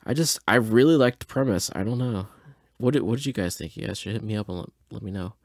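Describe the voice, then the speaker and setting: A man's voice: fairly low pitch, quick pace, vocal fry, no room sound, clean studio sound. A mildly tipsy man hosting a podcast, rambling casually and hesitantly as he thinks aloud in a laid-back way. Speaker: podcast host. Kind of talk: casual rambling audio reaction and commentary.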